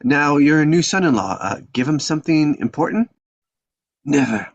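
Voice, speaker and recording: An actor playing a stern, commanding old mafia patriarch with a gravelly, husky voice in a script table read. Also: male voice, a little high, expressive, moderate pace, dry recording, muffled recording. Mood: businesslike.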